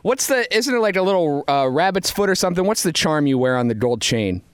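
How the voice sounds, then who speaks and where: A male interview host with a fairly high voice and a very dry, close sound. He chats informally and jokingly, often putting himself down as he asks his questions. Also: very animated, fairly quick, clean tone, no echo. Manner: self-deprecating, informal. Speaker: radio show host. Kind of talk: casual, joking interview chat.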